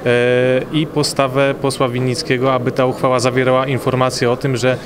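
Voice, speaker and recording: A man's voice: mid-range voice, a little fast, noisy background. A male reporter asking long interview questions with some hesitations. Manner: flat, reads long questions with fillers. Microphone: handheld mic.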